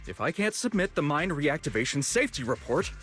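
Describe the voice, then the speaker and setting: Pompous, high-strung game official. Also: male voice, big pitch swings, brisk, music in the background. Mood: indignant, anxious. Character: self-important, clueless, needy male official. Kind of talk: anime-style video game voice acting.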